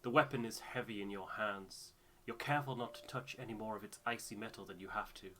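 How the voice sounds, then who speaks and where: Bright-voiced man narrating, fairly low pitch. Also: quick pace, slight background noise. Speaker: video game playthrough co-host. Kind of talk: reading game narration aloud, with casual asides.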